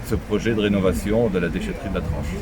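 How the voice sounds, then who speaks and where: Low-voiced French man, hesitant. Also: fast talker. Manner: hesitant, many fillers and restarts. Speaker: mayor and local official. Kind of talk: on-site interview. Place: outdoor recycling center. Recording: outdoor crowd noise.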